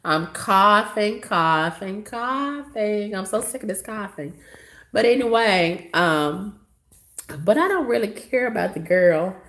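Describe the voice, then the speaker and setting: Hoarse woman venting bluntly. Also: mid-range voice, animated, slow, fairly bright tone. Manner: blunt, profane, dismissive. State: hoarse, coughing. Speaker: vlogger. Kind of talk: casual storytelling to viewers.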